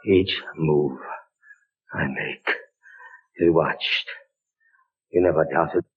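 An actor in a 1940s radio horror drama playing a desperate, feverish captain imprisoned in a dungeon, theatrical and melodramatic. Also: male voice, rumbling low, extremely slow, heavily acted. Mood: hushed dread. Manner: theatrical, melodramatic, swinging from hushed dread to frantic cries. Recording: noisy muffled old radio recording.

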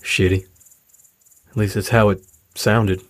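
An actor playing a troubled, frustrated middle-aged father in a naturalistic audio drama, speaking haltingly and downcast. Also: fairly low pitch, level tone, a little hiss or hum. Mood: dejected, frustrated. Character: weary, troubled, frustrated widowed father of a teenager.

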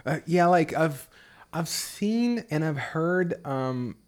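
A man from Brazil with a Brazilian accent, an English teacher chatting as a podcast guest and asking curious questions with many pauses and "um"s. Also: on the high side, lively intonation, darkish tone. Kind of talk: casual conversation.

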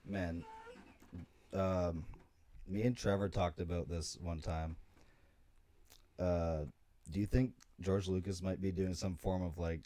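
A man's voice: sits low, level tone, takes it slowly, pauses often, mellow, no room sound. A man co-hosting a podcast in casual chat, joking around, laughing often and trailing off with fillers. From America. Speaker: podcast co-host.

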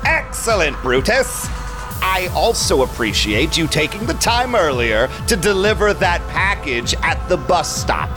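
A smug vampire club owner in an audio drama. His voice is high for a man and swoops theatrically. He takes his time, savoring his own schemes with suave calm. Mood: pleased, commanding. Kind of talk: audio drama narration and dialogue.

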